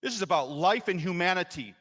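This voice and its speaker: A man's voice: high-pitched, animated, fairly quick. Impassioned man speaking in an echoing chamber. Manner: impassioned, rising to loud emphasis. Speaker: state legislator. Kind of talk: floor speech explaining a vote. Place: legislative chamber. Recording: long reverb in a legislative chamber.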